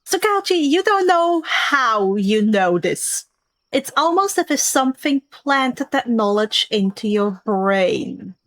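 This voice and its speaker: A woman narrating a tabletop roleplaying game as host and game master, in a deliberate, drawn-out way. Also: sits high, sing-song, a bit bright.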